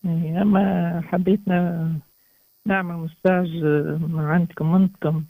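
A man's voice: high-pitched, flat delivery, moderate pace, no room sound. Young Tunisian man on a tinny phone line. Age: 20s. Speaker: first-time radio caller. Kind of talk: hesitant personal account on a phone-in.

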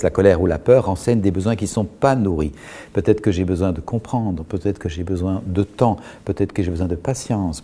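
A middle-aged man talks slowly and thoughtfully about feelings, pausing often. His voice sits fairly low and sounds mellow and soft-edged. He explains patiently, like someone guiding a listener. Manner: calm, measured, with frequent pauses and restarts. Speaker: guest speaker on emotions. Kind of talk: reflective explanatory talk.

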